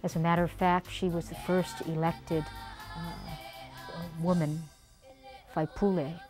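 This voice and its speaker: A woman from American Samoa recalling her upbringing in an interview, speaking in a measured way with pauses and fillers. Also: fairly low pitch, level tone, music in the background. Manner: measured, unhurried, pausing with fillers. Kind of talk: reminiscing interview.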